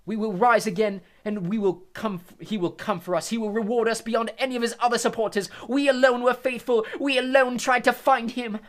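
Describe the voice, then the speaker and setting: A man voicing a fanatical, defiant woman prisoner who calls out loudly. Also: extremely high pitch, fairly even intonation, a little fast, few pauses, voice pushed up.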